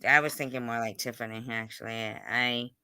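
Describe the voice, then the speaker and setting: A man's voice: medium pitch, fairly even intonation, normal speed, pushed and bright, smooth. A man hosting a casual online gossip interview, speaking insistently and repeating phrases for emphasis. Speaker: host of an online interview show.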